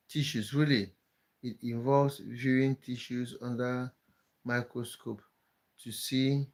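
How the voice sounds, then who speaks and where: A man from Cameroon, hosting a casual live stream, chatting with viewers and often repeating words as he thinks aloud. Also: mid-range voice. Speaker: livestream host.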